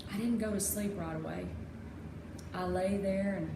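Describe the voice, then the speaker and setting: A woman narrating a children's chapter book aloud in an expressive storybook style. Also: mid-range voice, moderate pace. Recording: echoey room with clear background noise.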